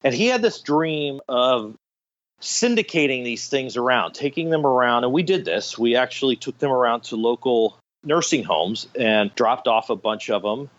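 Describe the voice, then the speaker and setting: A man's voice: mid-range voice, animated, moderate pace, lo-fi sound. A middle-aged man telling anecdotes enthusiastically as an interview guest, in a conversational storytelling style. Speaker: guest, radio theater director.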